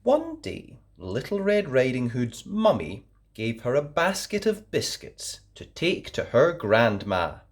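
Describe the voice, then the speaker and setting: A woman reading a children's story aloud in a low, gentle voice. She takes her time with every phrase, her pitch rising and falling widely to keep young listeners hooked. The telling is warm in rhythm and expressive. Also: takes it slowly, few pauses. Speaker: storyteller host.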